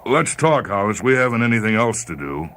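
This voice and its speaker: Spiteful male space crewman, acted by a man reading a story: goading, drawn-out taunts, very animated pitch. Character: bitter, taunting, mutinous male spaceman on radio. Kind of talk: dramatized character voice in story reading.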